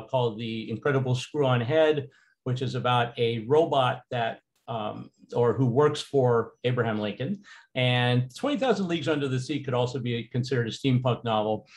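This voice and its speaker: Man, teacher voice over slides, relaxed and casual, many fillers. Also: medium pitch. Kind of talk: recorded slideshow lecture.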